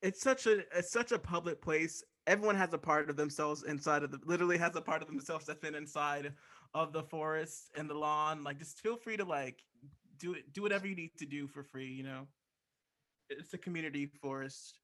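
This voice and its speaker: A man with a bright, somewhat high voice performing improv comedy. He rambles casually, repeating phrases as he goes. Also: a little fast. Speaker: improv comedy cast member.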